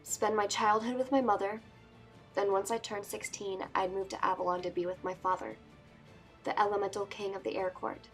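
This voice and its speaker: A woman voicing a sardonic, anxious teenage princess-to-be, narrating a fantasy book in a measured, wistful way. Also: medium pitch, fairly even intonation, faint music behind, natural voice. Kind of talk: first-person dramatic book reading.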